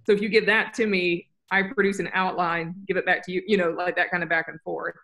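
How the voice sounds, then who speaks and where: A woman's voice: on the low side, level tone, fairly quick, fairly bright tone. A woman talks through course plans on a video call. She rambles and corrects herself as she goes, staying upbeat. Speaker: course producer. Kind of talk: casual video call meeting.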